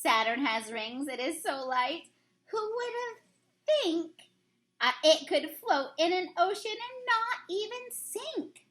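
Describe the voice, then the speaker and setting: Female teacher, children's read-aloud, sing-song delivery, bright high voice. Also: takes it slowly. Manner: sing-song, expressive storybook reading. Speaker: teacher reading a picture book aloud.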